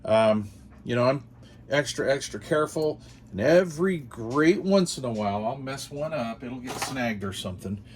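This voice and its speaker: A man's voice: on the high side, slight background noise. A man hosting a casual product unboxing, talking informally and musing aloud in short phrases. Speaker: host of a radio gear channel.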